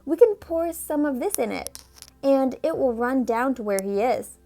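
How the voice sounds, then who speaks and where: An eager, meddling young boy from a storybook sulks and pushes his own ideas, as a woman reads him aloud. His voice sits fairly high over faint music. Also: animated, normal speed, creaky, dark tone, low-quality recording. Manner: eager, sulky, a little whiny.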